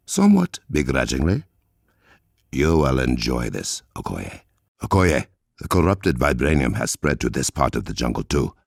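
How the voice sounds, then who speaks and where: A man playing a noble, resolute warrior king in a video game, with an African accent, a deep gravelly voice and formal, measured diction. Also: huge pitch swings, no room sound, clean studio sound, exaggerated voice. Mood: measured, confident.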